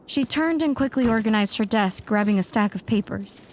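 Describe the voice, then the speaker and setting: A woman's voice: medium pitch, normal speed, darkish tone, slight background noise, close to an everyday voice. A woman acting a young, self-assured warlock student who narrates and speaks in a fan audio drama. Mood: narrating.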